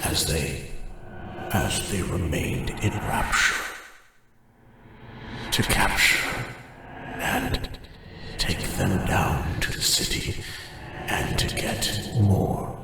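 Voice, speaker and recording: A man voicing a hulking, gentle, monstrous armored guardian in a scripted ASMR roleplay, with a breathy gravelly rumble and halting, formal, solemn phrasing. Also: over music, full character voice. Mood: solemn, explanatory. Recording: very echoey, distant, faint noisy ambience.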